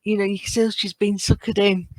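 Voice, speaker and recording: A woman speaking as a podcast guest in casual fan discussion, earnest and appreciative, often halting as she finds her words. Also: medium pitch, flat delivery, no echo, low-quality recording.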